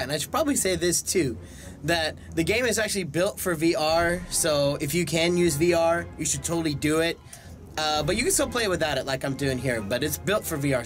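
A young man hosting a let's-play in excited, animated gaming commentary, recorded close up in a room. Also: on the high side. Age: young adult. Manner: animated, whooping and hollering. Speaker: voice actor and let's-play host. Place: small room indoors. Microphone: headset on, desk microphone up close. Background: loud game music.

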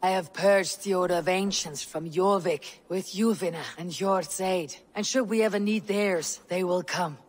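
An actress playing a proud, steadfast Viking woman warrior in a video game, speaking in a measured, formal way. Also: on the low side, lightly acted. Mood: proud, resolute. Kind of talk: dramatic game cutscene acting.

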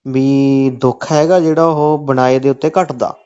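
A man's voice: medium pitch, steady tone, measured pace, warm tone, clean tone. A man with a Punjabi accent making a comic phone call, talking insistently and falling back on the same stock phrases. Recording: dull, lo-fi phone call recording.

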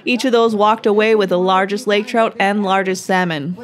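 A woman host giving upbeat, measured scripted narration. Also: mid-range voice, steady tone. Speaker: show host.

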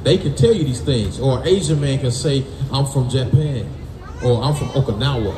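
A man's voice: medium pitch, normal speed, a crowd in the background. An American man preaching as a street Bible teacher, emphatic and colloquial, punctuating his points with "right?" and "man". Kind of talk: call-and-response Bible teaching. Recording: distant roomy mic amid people's noise.

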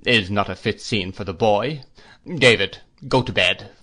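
A man voicing a cold, arrogant, severe husband with a pompous, measured and commanding way of speaking, in a classic novel reading. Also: lowish voice, pushed and bright. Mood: stern, magnanimous. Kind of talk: classic novel read aloud.

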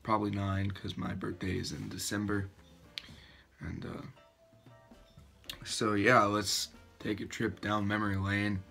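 Teen dude chatting on webcam in his bedroom, kinda low voice, quiet music playing under it, pokes fun at himself. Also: completely flat delivery, a little slow, vocal fry, pauses often. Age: teens. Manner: self-mocking, chatty, laughing at himself. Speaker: YouTuber. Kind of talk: casual reaction vlog. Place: bedroom, indoors. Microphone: built-in webcam mic at arm's length. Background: faint background music.

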